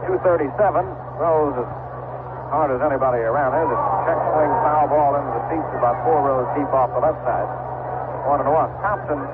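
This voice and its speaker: A man calling baseball play-by-play on live radio in a measured, descriptive way with conversational asides. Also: medium pitch, phone-quality sound. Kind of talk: live radio sports commentary. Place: outdoor ballpark broadcast. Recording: noisy vintage 1970s AM radio broadcast.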